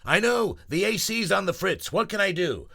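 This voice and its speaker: A man puts on a flustered storybook shopkeeper who rattles along in a high, loud voice. There's a husky edge to it. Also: lively intonation, moderate pace, full character voice. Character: worried older male shopkeeper. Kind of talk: children's storybook reading.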